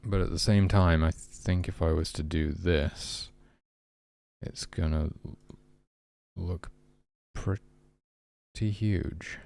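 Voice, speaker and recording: Low, creaky-voiced man thinking aloud. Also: fairly even intonation, slow, long pauses, darkish tone, no room sound. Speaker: indie game developer streaming his work. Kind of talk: casual thinking aloud while working.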